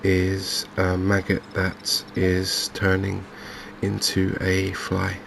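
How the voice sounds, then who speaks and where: A man hosting a gaming video in a softly spoken ASMR commentary style, unhurried and hesitant, musing to himself. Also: low-pitched, completely flat delivery, gravelly, background noise.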